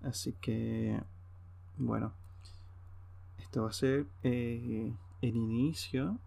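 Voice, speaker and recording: Gravelly Venezuelan man, hesitant and informal. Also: mid-range voice, fairly even intonation, slow pace, warm tone. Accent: Venezuelan Spanish. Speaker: podcast host. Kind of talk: unscripted solo podcast monologue.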